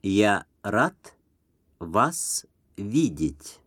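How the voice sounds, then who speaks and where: A man reading short phrases slowly and carefully for a language lesson, pausing between words and repeating each phrase. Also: on the low side, big pitch swings, a bit bright, slightly rough, no echo.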